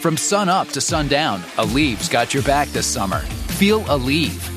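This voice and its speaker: A man's voice: mid-range voice, sing-song, a little slow, over music. A man voicing a polished national radio commercial with a breezy, friendly read. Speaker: commercial voice-over.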